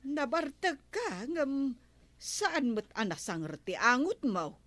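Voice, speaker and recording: An actress with an Ilocano Filipino accent playing a bossy, inquisitive wife of a village captain in a radio comedy drama. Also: female voice, high-pitched, very animated, slow, a bit bright, no echo, heavily acted. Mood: interrogating.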